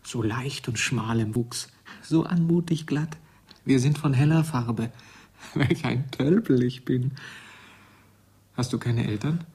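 A man's voice: medium pitch, takes it slowly, pauses often, a little mellow, breathy edge, full character voice. An actor playing a troubled, bewildered grown man who searches ponderously for his formal words in a German radio drama. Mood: tender, puzzled.

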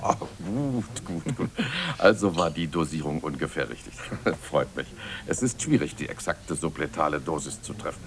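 A man's voice: very deep voice, lively intonation, normal speed, a little hiss or hum. An actor playing a genial, self-assured elderly scientist and father in a German radio drama, avuncular and hearty in manner. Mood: pleased, brisk. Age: older. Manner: avuncular, hearty, chuckling, relishing his words.

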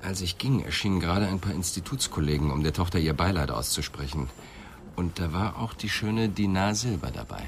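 A low-voiced, serious police inspector. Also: male voice, expressive, brisk, a little hiss or hum. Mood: probing, intent. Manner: terse, questioning. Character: serious, probing male police inspector on a murder case. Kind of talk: radio crime drama acting.

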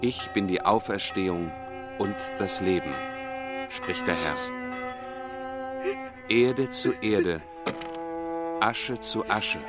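Slow, solemn low-voiced clergyman. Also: big pitch swings. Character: solemn clergyman intoning funeral rites. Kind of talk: radio play acting, liturgical recitation. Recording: church bell and music behind.

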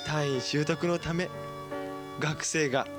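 A man's voice: a little high, fairly even intonation, slow pace. A man reading a scripted mock promotional announcement in an amateur radio production. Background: plucked guitar music.

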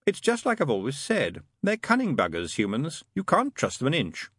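Audiobook demon, played by a man: jaded, sarcastic, offhand drawl, husky tone, highly animated intonation. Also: normal speed, dry recording, heavily acted. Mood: sardonic. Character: sardonic, worldly, world-weary male demon.

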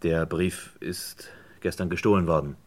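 Low voiced man in a crime radio play, guarded, short sceptical questions, quick to take offence. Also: fairly even intonation, darkish tone. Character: guarded, indignant man defending his dead father's honour. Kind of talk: German radio crime drama acting.

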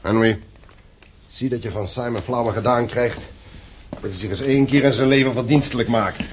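A man's voice: medium pitch, moderate pace, a little hiss or hum, lightly acted. An actor in a radio play playing a stern, domineering middle-aged father who owns a mine, commanding and reproachful. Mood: irritated, commanding. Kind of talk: Dutch radio play acting.

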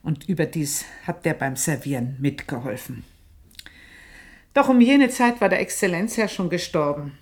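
An actress playing an aging, gossiping woman servant in a radio drama, confiding in a hushed voice that turns emphatic. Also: low voice, animated, normal speed. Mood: confiding, bitter. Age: older. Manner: confiding, hushed then emphatic, dwells on phrases. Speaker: narrating character. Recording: roomy radio studio sound.

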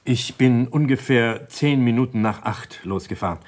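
A man playing a troubled doctor and evasive suspect in a German crime radio drama, speaking in a hesitant, guarded way with a husky voice. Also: mid-range voice, moderate pace, darkish tone, lightly acted. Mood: subdued, recounting.

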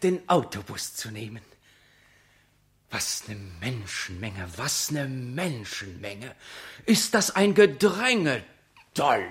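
A theatrical, wildly swooping excitable onlooker. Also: medium pitch. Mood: hushed amazement. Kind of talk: radio play interior monologue.

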